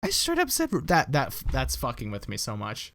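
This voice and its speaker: A man's voice: mid-range voice, wildly swooping pitch, normal speed, a bit bright. A young American man, a YouTuber, rambling and self-deprecating as he reacts to his old videos, swearing casually. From United States.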